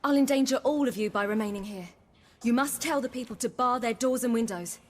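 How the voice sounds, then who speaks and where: A woman's voice: fairly high pitch, fairly quick, in a large hall, close to an everyday voice. An actress playing a young warrior woman on the run in a video game, resolute, guarded and brave. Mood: grave, urgent. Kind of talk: dramatic video game acting.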